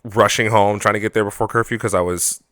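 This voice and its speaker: Young adult man, podcast co-host, lowish bright voice with a dry, close sound and a joking storyteller manner. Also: steady tone, fast talker, no room sound. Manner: joking storyteller, playful asides. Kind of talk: casual chat.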